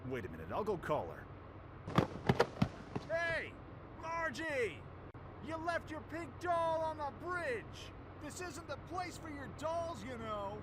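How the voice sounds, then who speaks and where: A man's voice: very high voice, wildly swooping pitch, slow, long pauses, very noisy, heavily acted. A man playing a blunt, hot-headed young leader in a radio drama, given to exclamations. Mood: puzzled, annoyed. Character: brash, hot-headed young male leader, teasing and protective. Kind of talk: fan radio drama acting.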